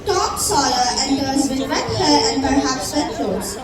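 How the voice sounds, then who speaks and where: A woman's voice: high-pitched, expressive, unhurried, very noisy. A young girl giving scripted narration as the narrator of a school play. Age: child or young teen. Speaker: stage narrator. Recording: echoey hall from far off, loud crowd.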